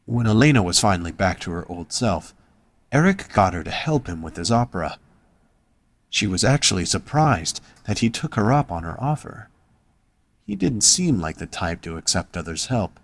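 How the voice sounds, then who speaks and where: Male narrator, low pitch, dry close sound, scripted storytelling. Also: very animated, normal speed, no echo.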